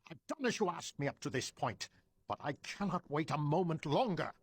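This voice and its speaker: Bossy impatient mutant dad, theatrical, highish voice, really animated. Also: male voice, brisk, breathy edge, no echo, heavily acted. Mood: urgent, angry. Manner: imperious, theatrical. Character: imperious, impatient mutant father, fiercely protective. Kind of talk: video game cutscene acting.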